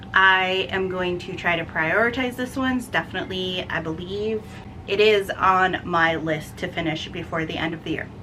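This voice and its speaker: A woman's voice: mid-range voice, sharp tone, a little hiss or hum, rough recording. A woman hosting a casual, chatty book vlog, talking enthusiastically and stressing words by repeating them. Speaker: book reviewer and video host.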